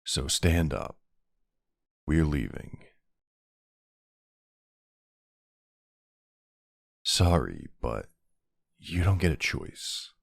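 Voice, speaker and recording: A man playing a brooding, centuries-old vampire hunter of his own kind in an ASMR roleplay, speaking in a gravelly, deliberate, halting way. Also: rumbling low, very animated, no echo, clean studio sound, full character voice. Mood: earnest, resolute. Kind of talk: ASMR audio roleplay, scripted dramatic monologue.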